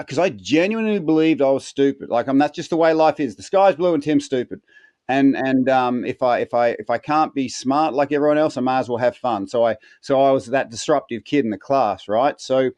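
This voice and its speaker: A man's voice: medium pitch, darkish tone, no echo. A man in his fifties with an Australian accent, telling his life story as a podcast guest in an earnest way, often restarting with repeated words and fillers. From South Australia. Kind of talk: storytelling interview answers.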